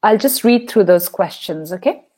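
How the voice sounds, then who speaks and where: A woman's voice: mid-range voice, lively intonation, moderate pace, darkish tone, slightly rough. A woman teacher hosting a live online English lesson, cheerful and enthusiastic, laughing often.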